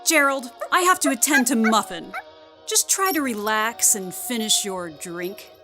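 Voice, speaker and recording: Radio drama wife played by a woman: doting, soothing, brushes worries aside, very animated voice, faint music behind. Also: on the high side, measured pace. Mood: placating. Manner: placating, reassuring, gently dismissive. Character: soothing, doting housewife, fussy over her little dog. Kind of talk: mid-century radio play acting.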